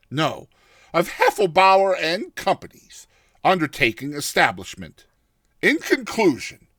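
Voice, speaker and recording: A man giving a theatrical, expressive dramatized narration of a short story. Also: a little high, dry recording, clean studio sound.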